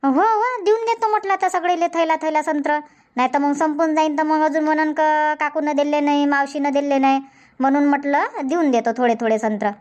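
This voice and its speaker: Woman doing busy cartoon village aunty, high voice, varhadi marathi accent, rambles on and repeats herself. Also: fairly even intonation, a little fast, a little mellow, lightly acted. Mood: chatty, bustling. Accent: Varhadi Marathi from Vidarbha. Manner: rambling, folksy, repetitive. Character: busy, chatty village auntie in a comedy cartoon. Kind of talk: cartoon comedy dubbing.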